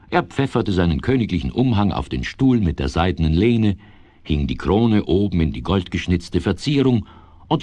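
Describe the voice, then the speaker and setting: A man narrating a children's radio play like a storybook, with measured, dryly amused formality. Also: low voice, darkish tone, no echo, full character voice.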